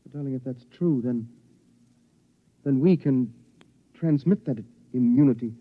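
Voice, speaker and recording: An actor playing a gentle, doubtful young husband who survived atomic war, in an earnest 1950s radio drama style. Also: male voice, mid-range voice, a little slow, no room sound, lightly acted. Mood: dawning hope. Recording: muffled old radio recording.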